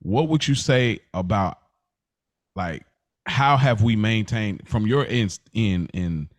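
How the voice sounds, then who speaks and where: A man's voice: fairly low pitch, slow, no room sound. An American man hosting a podcast, chatting casually and telling stories in slangy speech, acting out remembered exchanges. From United States, Kentucky and Indiana. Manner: animated, slangy storyteller who acts out remembered conversations. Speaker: podcast host. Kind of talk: casual storytelling chat.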